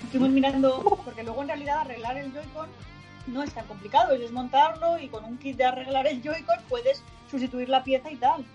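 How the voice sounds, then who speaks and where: Brief: co-host, northern Spanish woman, slightly high voice, lively and blunt. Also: brisk, faint music behind. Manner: animated, laughing, swears casually. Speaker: podcast co-host. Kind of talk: casual podcast chat.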